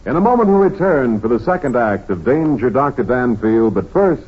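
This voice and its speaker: A man's voice: medium pitch, sing-song, normal speed, husky, narrow, tinny audio. A man announcing a 1940s radio drama in the style of an old broadcast.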